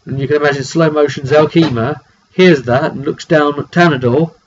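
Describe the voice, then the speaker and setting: A man recounting a tabletop fantasy roleplay session as game master, casual and improvised, in a halting, informal way with some throat clearing. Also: a little high, fairly even intonation. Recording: dull lo-fi audio with faint noise.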